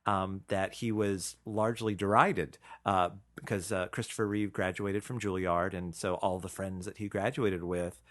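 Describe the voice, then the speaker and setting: A man co-hosting a podcast in casual conversation, chatty and halting as he tells things. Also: sits low, steady tone, a bit bright. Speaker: podcast co-host.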